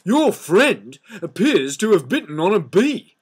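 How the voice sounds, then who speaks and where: A man voicing a gloomy, sardonic old donkey in a storybook reading, slow, deadpan and mournful. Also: high-pitched, slightly rough, voice pushed up, full character voice. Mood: dry, sardonic.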